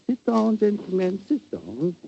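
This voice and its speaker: Courtly old emperor, very dark husky voice, swoopy, old radio drama. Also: male voice, sits high, very animated, unhurried, slight background noise, exaggerated voice. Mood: gracious, stately. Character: elderly genial Austrian emperor, courtly and gracious. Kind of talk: 1940s radio acting. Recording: muffled old 1940s radio broadcast.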